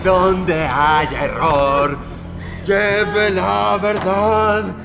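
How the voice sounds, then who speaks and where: Eerie, high-voiced pious male killer. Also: fairly even intonation, drawn-out words, creaky, music in the background, heavily acted. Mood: eerie fervour. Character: deranged male serial killer, soft-spoken, fanatically pious, obsessed with mother. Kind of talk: Spanish-language true-crime audio drama acting.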